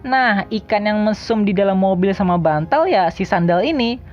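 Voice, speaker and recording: A woman's voice: mid-range voice, lively intonation, normal speed, a little mellow, highs cut off. A woman narrates a countdown video in a casual, slangy, jokey way. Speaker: video host. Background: background music.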